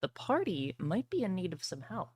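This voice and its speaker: A woman's voice: on the low side, very animated, normal speed, a little mellow, slightly rough. A woman casually improvising narration as game master of a tabletop roleplaying game.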